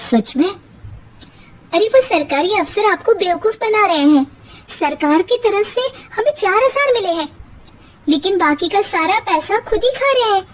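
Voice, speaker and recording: A woman's voice: very high voice, lively intonation, unhurried, pitched above the performer's own voice. A woman voicing a clever, educated young daughter-in-law in a cartoon story, speaking firmly and outspokenly. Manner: firm and outspoken.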